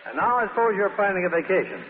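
A man's voice: high-pitched, very animated, very fast. A man hosting a 1940s radio theatre with scripted announcing and chat with stars. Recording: echoing 1940s radio broadcast, narrow band, hiss.

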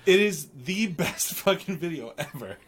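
A man's voice: sits high, lively intonation, a little slow, fairly bright tone, a little hiss or hum. A man co-hosting a podcast, chatting casually in a salty, joking way. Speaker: podcast co-host. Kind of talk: casual chat.

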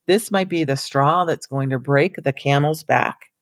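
A woman hosting a solo podcast for school counselors, her voice hoarse and raspy, talking casually and encouragingly to her listeners as friends. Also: low voice, unhurried, no room sound, studio quality.

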